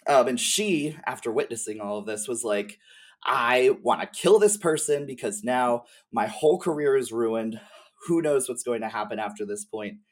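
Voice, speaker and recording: Halting, informal man chatting as a guest. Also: medium pitch. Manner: halting, informal, peppered with slang and swearing. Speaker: podcast guest. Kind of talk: casual chat about video game lore.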